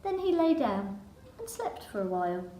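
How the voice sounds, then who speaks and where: A woman reading a children's picture book in verse with a measured, dramatic storytelling delivery. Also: medium pitch, sing-song, dark tone, slight background noise. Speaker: storybook reader. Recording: echoey room.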